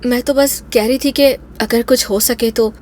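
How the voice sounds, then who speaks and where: A woman playing a gentle, coaxing wife and mother in an animated story. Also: fairly high pitch, moderate pace, a little hiss or hum, close to an everyday voice.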